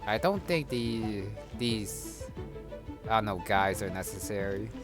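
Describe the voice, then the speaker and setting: A man giving casual game commentary, thinking aloud in a low-key way as he plays. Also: animated, slow pace. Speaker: gamer, playthrough host. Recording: voice over loud game music. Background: loud game music.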